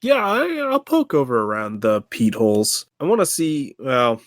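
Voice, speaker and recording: A woman's voice: extremely low pitch, wildly swooping pitch, moderate pace, dry recording, studio quality. A woman playing a tabletop game and chatting casually.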